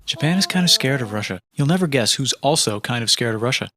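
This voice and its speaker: A man delivering scripted comedic history narration in deadpan, punchy short phrases. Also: mid-range voice, quick pace.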